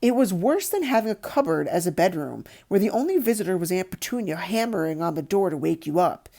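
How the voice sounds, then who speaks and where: A woman reads a storybook aloud as narrator. Her voice is soft-edged and mellow, and her pitch moves around a lot as she tells it expressively. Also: very animated, a little fast, gravelly. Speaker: audiobook narrator.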